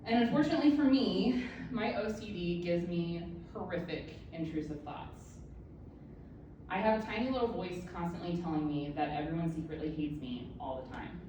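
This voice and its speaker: A woman in her thirties speaks to a room full of people with a slightly low voice and a candid, self-mocking sense of humor. She sounds far from the mic, and her voice bounces around a very echoey room. Steady background noise sits under her words. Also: normal speed, highs cut off. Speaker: author giving a speech. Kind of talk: personal speech to an audience. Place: event room with an audience.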